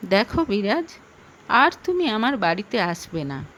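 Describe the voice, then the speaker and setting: A woman's voice: medium pitch, very animated, measured pace, fairly bright tone, a little hiss or hum. A woman voicing an anxious young wife in an audio story, pleading and fretful. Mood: annoyed, firm. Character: lonely, anxious young wife hiding an affair.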